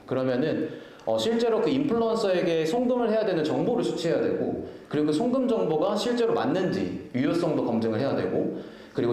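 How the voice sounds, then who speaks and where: A man's voice: fairly high pitch, mellow. Man presenting in a very echoey hall. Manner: polite formal explaining with frequent hesitations and restarts. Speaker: product owner presenting at a company event. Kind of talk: business conference presentation. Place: indoor event venue, presenting to an audience.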